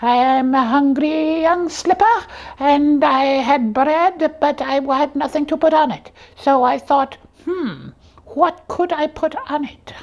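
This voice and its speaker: A woman's voice: high-pitched, takes it slowly. A woman voicing a hungry young talking slipper who plays detective, telling the tale with pompous earnestness in a comic puppet show. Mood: self-important.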